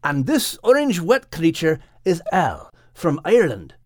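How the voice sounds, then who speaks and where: A plucky lad full of encouragement, as a storyteller voices him, pitched well up and lively in his rises and falls. His speech is hearty and earnest, recorded close and dry. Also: measured pace, no echo, full character voice.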